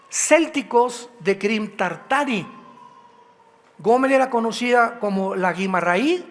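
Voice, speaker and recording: Mexican pastor preaching, high voice, keeps asking the crowd questions, big echoey church. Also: male voice. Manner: emphatic preaching with rhetorical questions to the congregation. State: a little tired. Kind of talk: sermon teaching. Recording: hall reverb.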